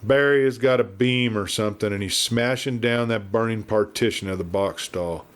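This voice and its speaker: Western audiobook heavy, played by a male narrator: big, brooding, thick deep voice, calm menace, rustic talk. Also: normal speed, natural voice. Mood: calm scorn.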